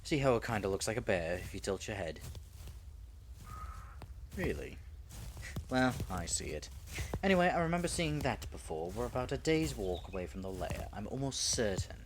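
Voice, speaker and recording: A man playing a proud, flustered dragon in human form, secretly smitten with a knight, in a theatrical audio drama full of halting, muttered asides. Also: fairly low pitch, sing-song, roomy sound, noisy background. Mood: evasive, breezy. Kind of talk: dramatic audio roleplay monologue.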